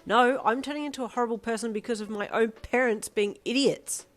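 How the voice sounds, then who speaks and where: A woman doing a sulky deadpan teen girl. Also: on the low side, moderate pace, gravelly, soft background music. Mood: angry.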